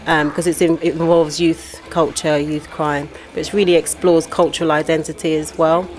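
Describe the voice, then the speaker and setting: A woman's voice: sits low, little pitch movement, moderate pace, a little mellow. A middle-aged woman from Guyana, an author and poet, talking in a casual interview with many fillers and restarts.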